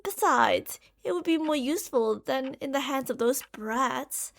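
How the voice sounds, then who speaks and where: High-pitched, impatient mad scientist acted by a woman. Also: lively intonation, measured pace, vocal fry, full character voice. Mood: brisk, evasive. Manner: theatrical, condescending, exasperated. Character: impatient, obsessive female mad scientist, secretive and scornful. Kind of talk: ASMR roleplay acting.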